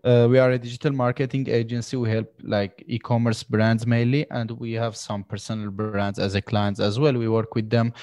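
A man's voice: mid-range voice, little pitch movement, moderate pace, hardly any pauses, a little mellow, no room sound. A man in his twenties from Syria, a podcast guest talking earnestly in casual conversation, often saying "you know". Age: 20s.

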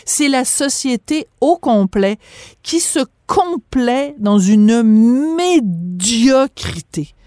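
Brief: French-accented female radio host, pointed and emphatic, bit high-pitched, dry studio sound. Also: animated, very slow, a little mellow, no room sound. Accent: French from France. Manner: emphatic, rhetorical questions. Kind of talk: opinionated radio debate.

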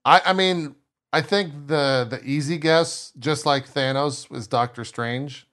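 Young man, podcast co-host, bright forceful tone, slightly high voice, jokey, self-mocking, headset mic on video call. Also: a little slow. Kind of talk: casual chat over a video call. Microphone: headphones with mic on video call.

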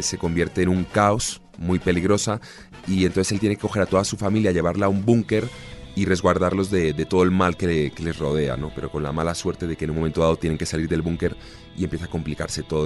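A man in his thirties with a Spanish accent, an actor answering interview questions in an easygoing, chatty way. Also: low voice. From Spain. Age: 30s. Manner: easygoing, chatty, with frequent restarts. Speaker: actor guest.

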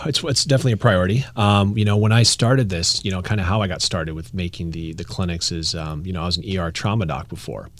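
A man's voice: low-pitched, fast talker, hardly any pauses, no room sound. A man who is a doctor explaining men's health topics on a podcast in a laid-back way, laughing easily. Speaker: doctor, medical clinic founder. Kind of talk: casual interview chat.

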